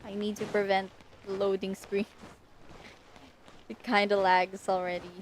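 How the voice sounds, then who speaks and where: A woman's voice: mid-range voice, level tone, measured pace, a little hiss or hum, rough recording. A woman livestreaming a game, chatting casually and playfully as she reacts aloud. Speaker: live streamer. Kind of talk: casual gaming livestream chat.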